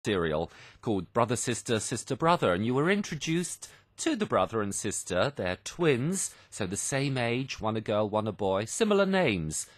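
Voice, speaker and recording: A man hosting a language programme, explaining a drama to learners in a measured, teacherly way. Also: mid-range voice, sing-song, no echo, studio quality. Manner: measured, didactic, with rhetorical questions. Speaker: programme host.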